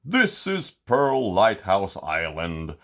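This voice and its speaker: A man's voice: on the low side, huge pitch swings, slow pace, fairly bright tone, full character voice. A man voicing a proud, kind male sea giant in a storybook reading, with a raised, carrying voice. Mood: proud, then sad.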